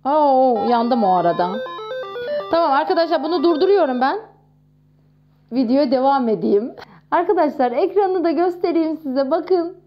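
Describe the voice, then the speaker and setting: A woman in her thirties talking casually to camera in a chatty, expressive way, showing off nostalgic things. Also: high-pitched, moderate pace, dull audio, soft background music. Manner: chatty and expressive, with frequent exclamations. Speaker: vlogger.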